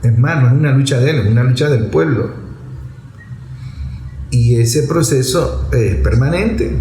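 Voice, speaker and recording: A man's voice: medium pitch, a little mellow. A man with a Venezuelan accent giving an impassioned political monologue, emphatic and rhetorical, repeating phrases to drive points home. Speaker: political commentator. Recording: roomy echo, faint background noise.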